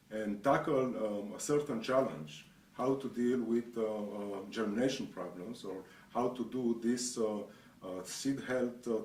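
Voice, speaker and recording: A middle-aged man answering interview questions, hesitant, pausing often and restarting sentences. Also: medium pitch, a little mellow. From grew up in Israel. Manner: hesitant, many pauses and restarts. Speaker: guest, association executive. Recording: echoey room, distant mic, faint noise.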